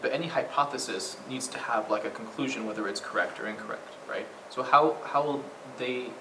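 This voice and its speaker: A man's voice: mid-range voice, brisk. A man in a training session asking a long, hesitant question full of fillers and restarts. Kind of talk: discussion questions. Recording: roomy distant mic with room noise.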